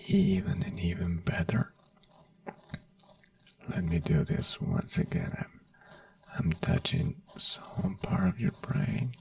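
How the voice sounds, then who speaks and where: An ASMR creator in a doctor roleplay, whispering softly in slow, soothing, simple reassuring phrases. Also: sits low, gravelly, no room sound, recorded from a distance.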